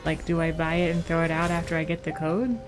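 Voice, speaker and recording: A woman with a slightly low voice chats casually as she streams a game. She rambles and muses aloud, with faint game music behind her. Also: flat delivery, fast talker. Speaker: game livestreamer. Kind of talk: casual chat while gaming.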